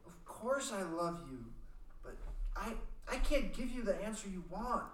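A performer voicing an apologetic teenage boy in an amateur radio play reading, halting and stammering. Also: mid-range voice, big pitch swings, recorded from a distance. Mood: guilty. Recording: echoey room, voice off-mic.

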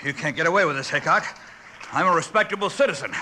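An actor playing a cornered, desperate western outlaw with a strained, creaky voice, in an old radio drama. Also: male voice, fairly high pitch, animated, fast talker, sharp tone. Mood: defiant. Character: cornered, desperate male outlaw posing as respectable citizen. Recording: faintly noisy old radio recording.

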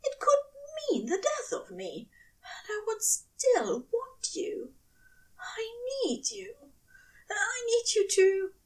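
Woman voicing a high, breathy, tender angel lover. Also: very animated, slow pace, far from the mic, full character voice. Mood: breathless desire. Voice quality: breathy, strained.

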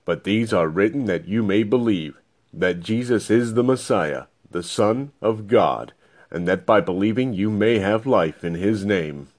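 A man's voice: fairly low pitch, animated, a little slow. A man reading scripture aloud as scripted narration. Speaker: Bible reader.

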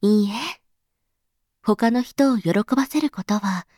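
A woman playing a gentle, patient mother explaining things to her child in a Japanese audio drama, reassuring and soft-spoken. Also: mid-range voice, unhurried, no room sound, studio quality, natural voice. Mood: thoughtful.

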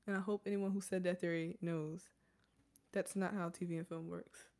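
A woman co-hosting a podcast, chatting casually in an animated, jokey way and laughing often. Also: on the low side, moderate pace. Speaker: podcast co-host. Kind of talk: casual chat.

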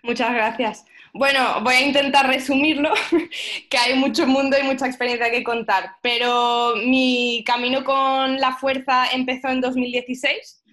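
A woman's voice: high-pitched, flat delivery, moderate pace, sharp tone. A young woman raised mostly in Egypt, chatting casually as an interview guest. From Middle East, mostly Egypt. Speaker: powerlifting athlete and coach, podcast guest.

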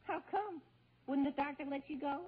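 An actor playing a wry, unhurried teenage boy sidekick in 1940s radio comedy style. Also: very high-pitched. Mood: curious. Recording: muffled, hissy, echoey 1940s radio recording.

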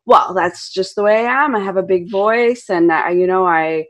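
A woman's voice: medium pitch, moderate pace. A woman hosting a conversation, talking openly and chattily with many fillers. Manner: open, chatty, lots of "you know". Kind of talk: casual conversation.

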